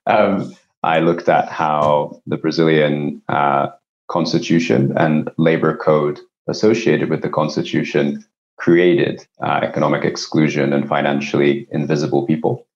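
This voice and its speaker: Very deep-voiced male interview guest. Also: level tone, measured pace, highs cut off. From Japan. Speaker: company CEO, podcast guest. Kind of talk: conversational interview answers, explanatory.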